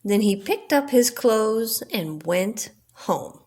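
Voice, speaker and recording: An older woman reads a children's storybook aloud. Her voice is on the high side, and she takes her time while letting the pitch rise and fall freely. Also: animated, takes it slowly, a little mellow.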